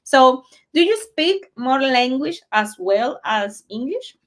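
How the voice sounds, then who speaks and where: A woman hosting an interview, encouraging her guest while speaking haltingly and repeating words. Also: high voice, big pitch swings, fairly bright tone. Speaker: host. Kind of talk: casual interview.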